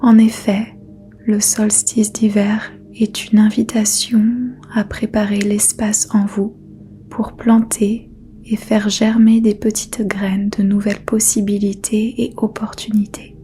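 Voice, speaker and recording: A woman whispering a guided yoga nidra meditation as its teacher, soft and unhurried throughout. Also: mid-range voice, monotone, a little hiss or hum.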